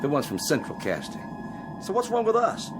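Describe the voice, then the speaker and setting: A whiny, wisecracking spaceship navigator, vain and hungry for recognition. His pitch swings dramatically in this radio drama, over loud music. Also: huge pitch swings, moderate pace, poor audio. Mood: griping. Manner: whiny, wisecracking, swooping emphasis.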